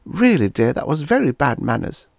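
A man's voice: medium pitch, sing-song, moderate pace, gravelly, a little mellow, no echo, voice pushed up. A man voicing a professor's bitter, sardonic wife in a story reading, dry and scolding.

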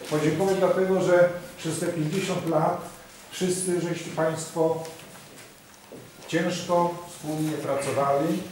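Echoey, solemn man giving a speech. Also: a little high. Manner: solemn, deliberate with repetitions. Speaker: town mayor. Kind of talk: ceremonial speech to an audience. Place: large hall at a public ceremony. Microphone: distant camera microphone. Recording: echoey hall from far off, noisy.

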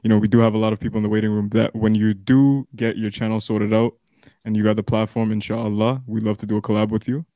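Toronto guy hosting, calm and low, pretty flat, dry sound. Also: quick pace, dry recording. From Toronto area. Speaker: podcast host. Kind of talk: casual podcast chat.

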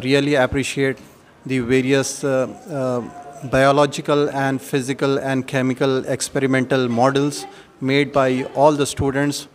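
Indian man, flat and halting, noisy room. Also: mid-range voice. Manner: halting, formal phrasing. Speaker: parent giving a testimonial. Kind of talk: formal on-camera interview. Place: decorated school room at a science fair. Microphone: handheld interview microphone. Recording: clear background noise, event ambience.

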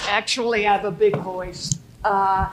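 A woman's voice: medium pitch, fairly even intonation, a little slow, background noise. A woman in the audience asking a frustrated, emphatic question into a handheld mic in a lecture hall. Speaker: audience member.

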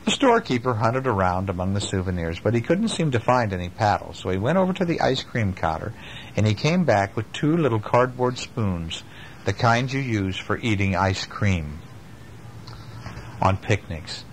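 An older man reading a children's book aloud at home and chatting gently with a child between passages. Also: on the low side, very animated, normal speed, a little hiss or hum, poor audio. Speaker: father reading a bedtime story. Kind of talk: bedtime story reading to a child.

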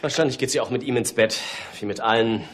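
A man's voice: medium pitch, fast, close to an everyday voice. An actor playing an indignant, well-connected male suspect in a German radio crime play, haughty and scornful. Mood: scornful, bitter.

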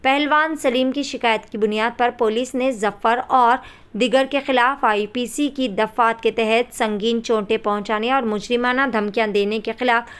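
Woman reading formal news, smooth voice. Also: fairly high pitch, steady tone, normal speed, fairly bright tone. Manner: formal Urdu-laden newsreading. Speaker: news anchor.

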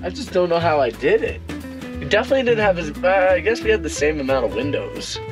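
A man's voice: fairly high pitch, big pitch swings, fast talker, music in the background. Laid-back joking young man in a van. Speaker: van life vlogger. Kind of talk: casual vlog chat. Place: inside a small camper van. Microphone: handheld action camera at arm's length.

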